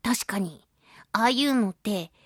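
A woman's voice: medium pitch, expressive, unhurried, no room sound, clean studio sound. A woman hosting a radio talk show, chatting casually and expressively as she talks with listeners. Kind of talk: casual chat, reading and answering listener mail.

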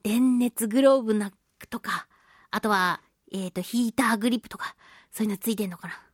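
A woman's voice: medium pitch, brisk, dry recording, clean studio sound. A woman hosting a chatty solo radio show, reading and responding to listener mail in a playful, exclamatory way. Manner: playful, exclamatory, giggly, expressive interjections. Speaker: radio show host, voice actress.

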